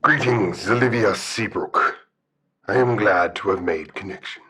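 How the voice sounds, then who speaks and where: An actor playing a solemn, shy, bear-like guardian spirit in an audio drama, speaking formally and hesitantly. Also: male voice, on the low side, a little slow, bright, forceful tone, husky, full character voice. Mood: formal, reserved. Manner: formal, hesitant, articulate speech. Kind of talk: audio drama radio call-in acting.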